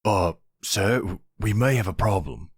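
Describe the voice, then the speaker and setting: A man's voice: on the low side, lively intonation, a little slow, slightly rough, no room sound, clean studio sound, voice pushed up. A man voicing an easygoing, talkative young mob underling in a roleplay audio drama, speaking casually and a bit hesitantly. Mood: uneasy.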